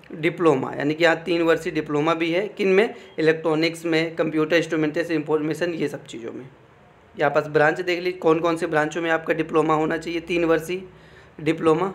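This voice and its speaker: A man giving an informal explainer, reading out official text and commenting, often repeating phrases and adding check-in tags. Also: on the high side. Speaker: exam information presenter.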